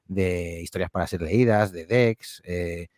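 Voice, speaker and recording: A man who makes horror audio fiction podcasts, answering interview questions in a relaxed, rambling way, with lots of fillers and restarts. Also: sits low, a little slow, no room sound, clean studio sound. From Spain.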